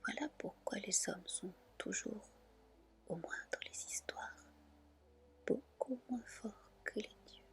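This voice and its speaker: Whispering woman reading stories, soft and slow. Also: mid-range voice, animated. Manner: gentle, careful storytelling. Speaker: ASMR storyteller, channel host. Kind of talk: whispered ASMR reading of folk tales.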